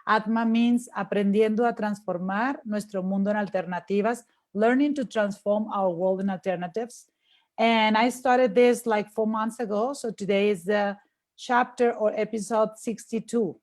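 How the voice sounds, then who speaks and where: A woman's voice: medium pitch, fairly even intonation, moderate pace, darkish tone. A woman hosting a live interview, enthusiastic and repeating words as she welcomes her guest. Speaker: podcast host.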